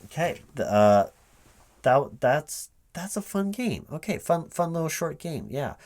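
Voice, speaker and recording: A man playing a polite, flustered hiring manager in an improvised interview roleplay, speaking aloud in a halting, rambling, stammering way. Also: a little high, big pitch swings, a little mellow. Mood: awkwardly polite. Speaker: recruiting manager in a one-sided roleplay.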